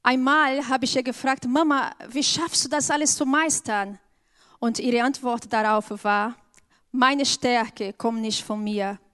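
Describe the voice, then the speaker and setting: A woman's voice: a little high, fairly even intonation, a bit bright, smooth. A woman from Brazil with a Brazilian accent, not speaking her first language, giving an earnest personal testimony in church. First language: Portuguese. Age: adult with an eleven-year-old daughter. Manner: slow, earnest, careful phrasing.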